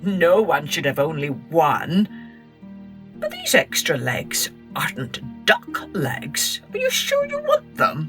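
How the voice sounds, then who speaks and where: A woman voicing a gruff, bossy old male temple guardian in a children's story, booming and commanding. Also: wildly swooping pitch, takes it slowly, fairly bright tone, soft background music, exaggerated voice. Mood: amused.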